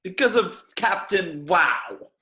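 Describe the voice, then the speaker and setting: A man's voice: high-pitched, expressive, takes it slowly, pushed and bright, heavily acted. A man reading the part of a whiny, sceptical, sarcastic survivor in an amateur comedy table read. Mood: sarcastic, complaining.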